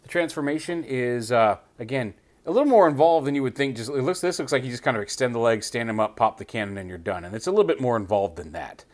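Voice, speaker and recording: A man's voice: medium pitch, lively intonation, quick pace. A man reviewing a toy, casually explaining each step while he handles it at a tabletop. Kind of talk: hands-on toy review walkthrough.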